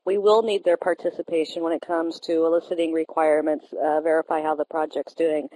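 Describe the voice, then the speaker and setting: A woman answers as an expert panelist in a lowish, mellow voice. She lays out her points steadily and pauses now and then with small fillers. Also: little pitch movement, rough recording. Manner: measured, explanatory, occasional fillers. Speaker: panelist, business analyst and project manager. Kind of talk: professional panel discussion answer.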